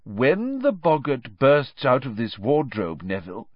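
A man voicing a cheerful, thoughtful teacher of magic in an audiobook, with a deliberate, encouraging manner. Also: medium pitch, wildly swooping pitch, no echo, dull audio, pitched above the performer's own voice. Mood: emphatic, coaching. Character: cheerful, reassuring, thoughtful male schoolteacher of magic. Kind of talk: audiobook character reading.

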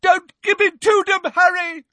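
A man voicing a desperate, defiant teenage boy who shouts frantically. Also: very high voice, level tone, measured pace, dry recording, voice pushed up, heavily acted. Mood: frantic defiance.

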